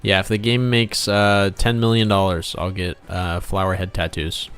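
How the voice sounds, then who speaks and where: Male livestream host, lower register, playful off-the-cuff banter, background music underneath. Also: level tone, normal speed, fairly bright tone, clean tone. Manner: joking, playful banter with viewers. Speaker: game developer and livestream host. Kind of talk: casual livestream chat while drawing. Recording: music playing behind the voice.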